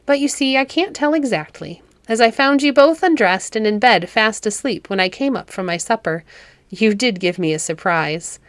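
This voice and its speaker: A woman's voice: on the high side, lively intonation, moderate pace, no echo, close to an everyday voice. A woman voicing a kindly, homely children's nurse in a storybook, affectionate and fussing. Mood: mildly puzzled.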